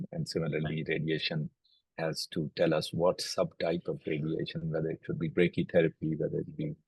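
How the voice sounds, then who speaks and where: A middle-aged man, a native Urdu speaker, speaks in a low, husky, raspy voice. His delivery stays flat and even as he walks through cases one step at a time. The sound is dry and close. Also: dry recording, poor audio. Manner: measured and explanatory, lists cases step by step. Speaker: oncologist and platform co-founder. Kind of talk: recorded video interview.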